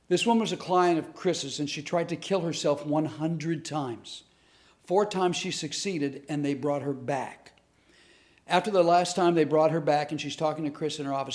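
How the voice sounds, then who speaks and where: Male storyteller-teacher, slightly high voice, earnest and animated delivery. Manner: earnest, animated storyteller who acts out quotes. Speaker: comedic speaker and teacher. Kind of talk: storytelling lesson to camera.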